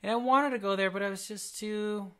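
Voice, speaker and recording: A young man with a breathy voice, a voice teacher chatting casually on a couch and rambling through his points. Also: high voice, fairly even intonation, a little fast, sharp tone. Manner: rambling, restarts, conversational. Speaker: voice teacher and reaction video co-host. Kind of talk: casual analytical chat. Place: living room studio, seated on a couch.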